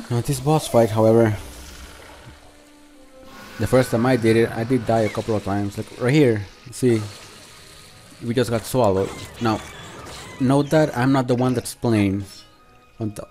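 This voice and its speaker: A man giving casual, unscripted gameplay commentary as a channel host, talking in a halting, conversational way. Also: medium pitch, dark tone. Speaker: video game review channel host.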